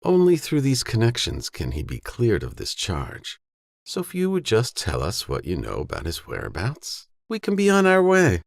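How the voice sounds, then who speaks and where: A man voicing a formal, educated, patronizing villain in an audiobook, smoothly menacing and businesslike. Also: on the low side, wildly swooping pitch, moderate pace, dry recording, voice pushed up, full character voice. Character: tall educated humorless villain, condescending businessman in glasses.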